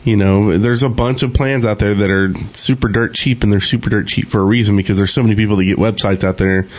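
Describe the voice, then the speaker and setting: A man's voice: on the low side, steady tone, quick pace. A man co-hosting a podcast, talking informally in a casual chat about online gaming. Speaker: podcast host.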